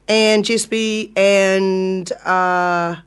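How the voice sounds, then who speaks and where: A woman hosting a radio chat show, relaxed and chatty, laughing easily. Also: mid-range voice, very slow, clean tone. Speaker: radio podcast host. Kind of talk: casual chat. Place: radio studio.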